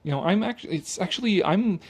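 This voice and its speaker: A man with a slightly higher-than-average voice delivers opinionated video commentary. He is sardonic and exasperated, stressing his words and swearing freely. He sounds a little tipsy and speaks off the cuff, in a dramatic, mocking style. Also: animated, brisk, a little hiss or hum. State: tipsy from drinking.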